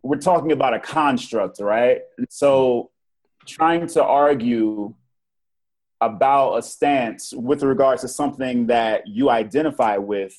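A man from Virginia talking as a podcast guest in a relaxed, joking way, often asking "right?". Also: medium pitch, a little slow, low-quality recording. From Virginia, United States. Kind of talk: casual discussion.

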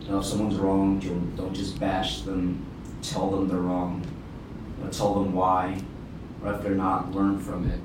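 A young man taking part in a reflective group conversation, speaking in a measured, plainspoken way. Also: low voice, completely flat delivery. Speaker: podcast guest. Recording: very echoey, distant, noisy room.

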